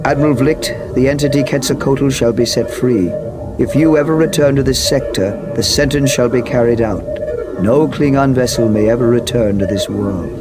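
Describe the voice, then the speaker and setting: An actor playing an ancient, stern and calm alien machine intelligence in a video game, speaking in formal, measured proclamations. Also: male voice, medium pitch, lightly acted. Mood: calm, superior. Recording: loud music under the voice.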